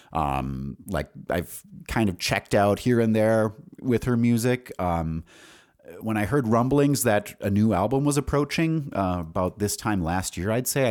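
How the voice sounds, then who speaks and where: A man's voice: low voice, darkish tone. A man in his forties hosting a casual solo podcast, rambling and candid with some salty language. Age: 40s. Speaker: podcast host and songwriter.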